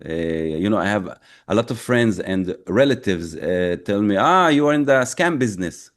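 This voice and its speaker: A man who is a native Arabic speaker, answering interview questions as a crypto educator, haltingly searching for words. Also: on the low side, expressive. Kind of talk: interview answers, personal story.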